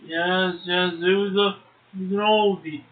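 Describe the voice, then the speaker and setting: A man hosting a poetry show, speaking and reading verse in a slow, halting way with drawn-out phrases. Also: high voice, fairly even intonation. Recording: tinny phone line, echoey.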